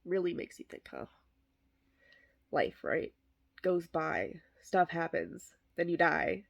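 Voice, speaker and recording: A woman voicing a bitter, sardonic middle-aged male horse actor, delivering a comic eulogy monologue in a deadpan, wry way. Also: lowish voice, takes it slowly, vocal fry, full character voice. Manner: deadpan, self-deprecating, wry.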